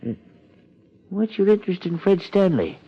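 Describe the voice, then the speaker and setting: An actor playing an earnest, easily flustered town supervisor in a 1950s radio drama, with a folksy, hesitant way of talking. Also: male voice, high-pitched, sing-song, moderate pace, slightly rough, full character voice. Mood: informative. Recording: muffled vintage 1950s radio recording.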